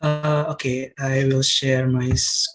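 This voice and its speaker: Indonesian guy presenting over a video call, slow and hesitant, lots of uh and restarts. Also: medium pitch, little pitch movement, fairly bright tone. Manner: halting, many fillers and restarts. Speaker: workshop participant presenting.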